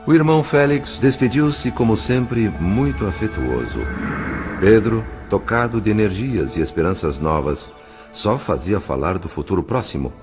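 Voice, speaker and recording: A man with a Brazilian accent reading scripted, literary narration for an audio novel in a measured storytelling manner. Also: lowish voice, expressive, over music.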